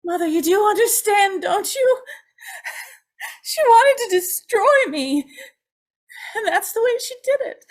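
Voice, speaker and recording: A tormented young woman condemned to die, with a high voice that swings widely. She speaks slowly and haltingly, repeating words in anguish, in a radio-play style. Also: exaggerated voice. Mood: anguished pleading. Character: tormented young condemned woman, haunted and fragile, confessing to Mother. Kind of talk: old-time radio drama acting.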